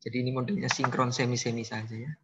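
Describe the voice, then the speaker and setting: A man's voice: medium pitch, level tone, fast talker, lo-fi sound, noisy background. A man giving an online math lecture, teasing his students and joking in mock regional accents. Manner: playful, teasing, jokes with students. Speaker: university lecturer.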